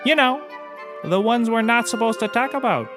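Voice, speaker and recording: A man's voice: very high-pitched, lively intonation, normal speed, music in the background. An actor playing a shameless, boozy private investigator in a scripted comedy, rambling and oblivious as he gives courtroom testimony. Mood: eager, rambling. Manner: rambling, casually confessing, oblivious.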